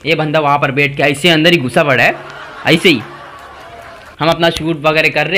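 Young man, stand-up storyteller, bright forceful voice, animated delivery, faint background hiss. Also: on the high side. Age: young adult. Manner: animated, gesturing, acting out friends' lines. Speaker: stand-up comedian. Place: indoor room in front of a brick-wall backdrop.

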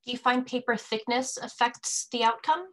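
A woman moderating the chat of an online workshop, speaking quickly and eagerly over a video call. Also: on the high side. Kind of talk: brief online announcements.

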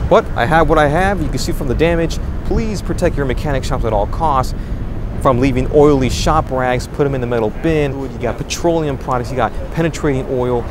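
A young man in his twenties gives an earnest, dramatic on-scene news report outdoors at night, wording things loosely and restarting often. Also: a little high. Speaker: independent street reporter. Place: outdoors at night on a city street. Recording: outdoor recording with street noise. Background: street and traffic noise.

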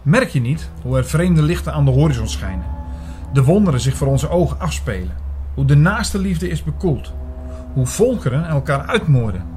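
A man's voice: fairly high pitch, very animated, moderate pace, dark tone, soft background music. Man playing an earnest, convinced investigator. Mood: urgent, pleading. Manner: earnest, literary, insistent in argument. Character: earnest male investigator Hugo, convinced of dark prophecies. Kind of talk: radio drama narration and dialogue.

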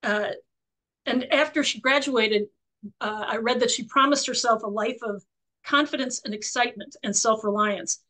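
Croaky-voiced woman hosting a video call. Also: a little high, steady tone, moderate pace. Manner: warm, chatty, many fillers and restarts. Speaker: book club host. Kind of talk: casual video-call interview. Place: home office.

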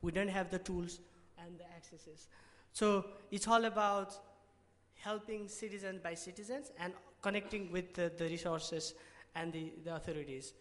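A young man from Nepal with a Nepali accent, giving a hesitant pitch talk from a podium mic in a large conference hall, full of restarts and fillers. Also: steady tone, moderate pace, fairly bright tone. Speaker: award presenter. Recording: reverberant hall.

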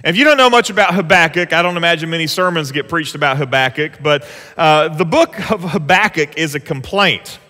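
A pastor, preaching an earnest, conversational sermon from a church stage. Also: male voice, a little high, expressive, fairly quick, sharp tone. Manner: earnest, conversational preaching with rising emphasis on scripture. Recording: long church hall reverb.

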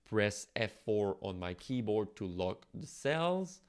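Low-voiced man teaching software. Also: sing-song, takes it slowly, smooth. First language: French. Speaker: software tutorial host. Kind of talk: conversational step-by-step screen tutorial.

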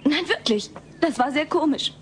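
An actress playing a helpful teenage daughter in a sitcom audio drama. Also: female voice, high voice, lively intonation, normal speed. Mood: amused. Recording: slightly noisy cassette tape.

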